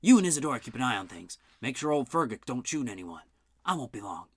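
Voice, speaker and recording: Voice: mid-range voice, sing-song, fast, breathy edge, no echo, clean studio sound. A narrator doing a folksy, drawling hobo. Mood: resolute. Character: drawling old hobo, kind and resolved.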